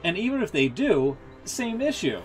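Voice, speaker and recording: A man's voice: high-pitched, very animated, moderate pace, faint music behind, low-quality recording. A man hosting a game stream with casual, opinionated, rambling commentary and some swearing.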